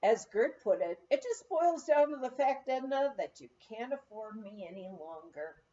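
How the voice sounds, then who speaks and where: A woman's voice: a little high, animated, normal speed, dark tone, muffled recording. A woman reading a memoir aloud as scripted narration, in a storytelling manner. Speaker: audiobook reader.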